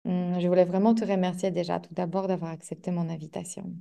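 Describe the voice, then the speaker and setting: A woman's voice: fairly low pitch, flat delivery, fast talker, vocal fry, dark tone. A woman hosting a coaching podcast, reading a scripted intro and then interviewing a guest in a hesitant way with many fillers.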